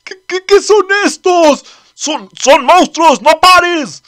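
A man voicing a panicky, angry human soldier in a manga dub, shouting frantically. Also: extremely high pitch, slow, voice pushed up, exaggerated voice. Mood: panicked. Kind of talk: Spanish manga dub acting.

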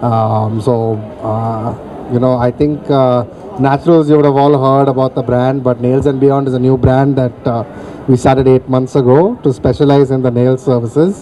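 A man's voice: mid-range voice, fairly even intonation, very slow, mellow, slight background noise, rough recording. A man in his thirties with an Indian accent, giving a polite, hesitant thank-you speech as a company director. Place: open atrium of a shopping mall, on stage. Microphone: handheld microphone.

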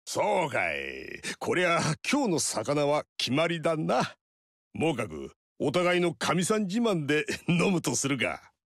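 A gruff, teasing veteran warlord. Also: male voice, on the high side, wildly swooping pitch, takes it slowly, a bit bright, no room sound, clean studio sound, exaggerated voice. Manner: rough, teasing, drawling. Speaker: warlord character. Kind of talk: video game character dialogue.

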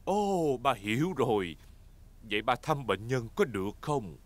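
Genial daddy pig, lively pitch. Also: male voice, measured pace, no room sound. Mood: concerned, playful. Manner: gentle, expressive, indulgent. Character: genial, playful father pig. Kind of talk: dubbed preschool cartoon acting. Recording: music behind the voice.

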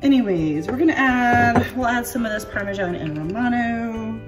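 A woman's voice: on the high side, animated, moderate pace, recorded from a distance, faint music behind. A woman in her thirties, congested, chatting casually and self-deprecatingly as she cooks dinner at home. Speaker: home cooking vlogger. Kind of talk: casual chatty cooking narration. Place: home kitchen and outdoor patio.

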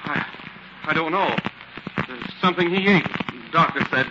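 Man playing irritable nephew, higher male voice, defensive, vintage radio acting, very noisy recording. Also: moderate pace, natural voice. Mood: irritated. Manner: testy, defensive. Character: irritable, evasive nephew, adult man guarding his privacy. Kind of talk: 1940s radio drama acting. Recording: noisy, muffled 1940s radio recording with clicks.

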